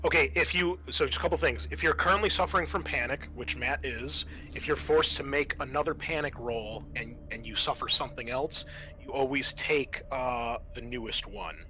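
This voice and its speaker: A man with a bright, forceful voice runs a tabletop roleplaying session. He explains and narrates casually as game master, with some swearing. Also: medium pitch, normal speed, low-quality recording.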